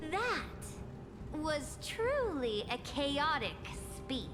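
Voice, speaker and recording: An actress playing an imperious, composed woman emperor in a video game, regal and commanding, with deliberate delivery. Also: high voice, sing-song, heavily acted. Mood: cold, commanding. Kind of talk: dramatic video game voice acting. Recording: game dialogue under loud music.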